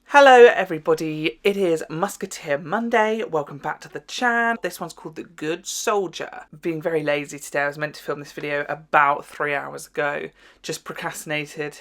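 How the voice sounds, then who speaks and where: A man's voice: fairly high pitch, normal speed, a bit bright. A man hosting a reaction vlog in a chatty, informal way, swearing freely. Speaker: host of a reaction channel.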